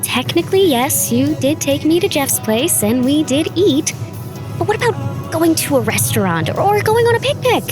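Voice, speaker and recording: A woman's voice: high-pitched, big pitch swings, normal speed, music in the background. A woman acting a nagging but playful young girlfriend in an audio drama. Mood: pleading.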